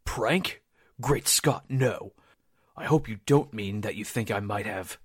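An actor playing an earnest, indignant young soldier with a stammering, defensive manner in a 1940s-style radio drama. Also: male voice, on the low side, slow pace, slightly rough, no echo, clean studio sound. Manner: earnest, stammering under pressure. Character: earnest, indignant young corporal, falsely accused fiancé.

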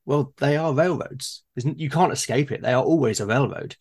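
A man hosting a casual, reflective discussion, musing aloud in a halting way and often restarting his sentences. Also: medium pitch, darkish tone, husky. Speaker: host and game master in a conversation.

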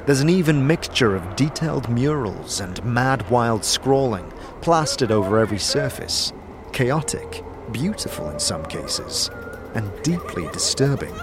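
Animated man narrating a dark fantasy tale. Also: medium pitch, unhurried, noisy background. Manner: theatrical, vivid storytelling. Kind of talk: dramatic scripted second-person fantasy narration.

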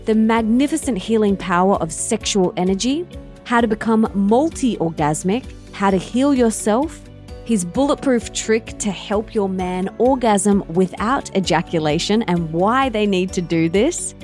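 A woman's voice: mid-range voice, expressive, unhurried, darkish tone, soft background music. A woman speaking as a podcast host, with an effusive, enthusiastic way of introducing and interviewing.

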